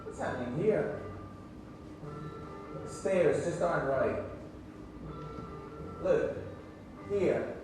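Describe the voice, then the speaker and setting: A man's voice: a little high, animated, extremely slow, pauses often. A man playing a harried businessman urgently handling design work in a scripted video. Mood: pressed, businesslike. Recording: very echoey distant playback, music behind.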